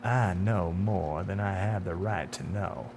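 A sinister, smooth-talking stranger in a dark suit, acted out by a male storyteller, taunts his victim in a horror tale. He speaks with theatrical deliberation and sneering rhetorical questions. A noticeable hum sits behind the voice. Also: deep voice, unhurried. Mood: smug, knowing. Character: menacing, taunting dark-suited man, smooth-talking otherworldly bringer of death. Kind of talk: dramatic horror audiobook acting.

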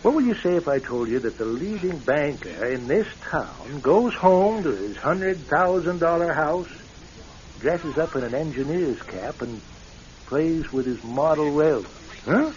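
An American man playing an avuncular, evasive small-town doctor in a radio drama, speaking in a measured, folksy, reassuring way. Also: fairly high pitch, expressive, darkish tone. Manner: folksy, measured, circling around answers. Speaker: actor in a radio play. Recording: dull lo-fi radio recording.

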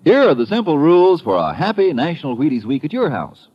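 A folksy American man selling on old-time radio. His voice is fairly high and husky, with very animated, emphatic delivery. The recording sounds slightly dull. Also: fast. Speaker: commercial pitchman. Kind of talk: 1950s radio advertisement.

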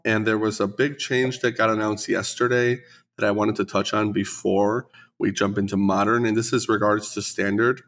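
A man's voice: on the low side, fairly bright tone. A man co-hosting a podcast, congested after the flu, chatting casually and enthusiastically with the odd self-deprecating joke. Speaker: podcast co-host and store owner.

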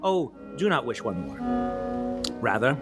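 A man performing a stirring medieval king rallying his outnumbered soldiers in a declamatory, amateur theatrical soliloquy with weighty pauses. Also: medium pitch, big pitch swings, loud music behind the voice. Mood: defiant, urgent.

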